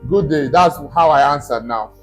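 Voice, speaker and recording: A man's voice: on the high side, moderate pace, faint music behind. Man explaining with frequent "okay?". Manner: explaining step by step, checking in with "okay?". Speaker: study abroad consultant. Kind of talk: screen-recorded tutorial.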